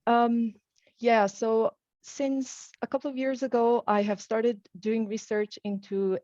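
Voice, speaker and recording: A woman's voice: on the high side, little pitch movement, slow, dark tone, no room sound. Woman from Iran on a muffled call. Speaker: university English lecturer. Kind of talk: casual video call introduction.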